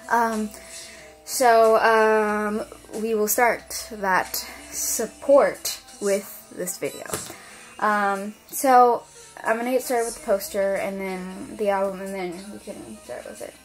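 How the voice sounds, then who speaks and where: A woman chatting casually while unboxing an album at her desk, rambling with lots of fillers and her voice going hoarse. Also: medium pitch, fairly even intonation, takes it slowly, faint music behind, rough recording. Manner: rambling, many fillers and restarts. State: losing her voice. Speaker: unboxing vlogger.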